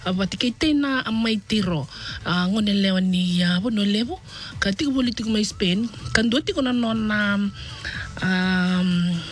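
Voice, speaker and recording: A woman interviewing a guest informally on radio, a little hesitant as she puts her questions. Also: mid-range voice.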